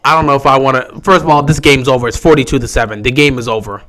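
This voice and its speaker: A young man with a sore, hoarse voice, giving casual live commentary on a football game he is streaming, resigned and frustrated. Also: mid-range voice, fairly even intonation, very fast, fairly bright tone. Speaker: livestream host.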